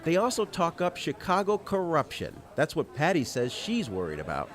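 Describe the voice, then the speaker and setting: A man's voice: on the high side, wildly swooping pitch, moderate pace, fairly bright tone. A man working as a political television reporter, delivering scripted news narration.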